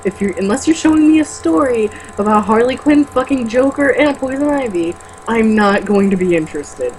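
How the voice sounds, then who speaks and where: A woman's voice: a little high, animated, normal speed, darkish tone, a little hiss or hum, poor audio. A woman reading a novel aloud and breaking into exasperated, sarcastic, sweary commentary and casual banter.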